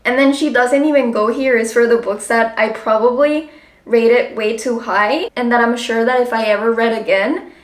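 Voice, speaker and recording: A woman's voice: fairly high pitch, steady tone, normal speed. Chatty woman, roomy echo. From Colombia. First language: Spanish. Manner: chatty, frequent "like" fillers, upbeat. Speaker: book vlogger. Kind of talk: casual chat to camera.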